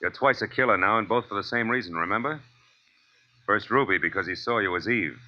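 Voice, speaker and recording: Deep-voiced hard-boiled American private eye. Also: male voice, brisk, sharp tone. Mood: hard, accusing. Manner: clipped, wry, tough-guy delivery. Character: hard-boiled male private detective, tough and wry. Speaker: lead actor and first-person narrator. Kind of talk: 1940s American radio crime drama acting. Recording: muffled, hissy old radio recording.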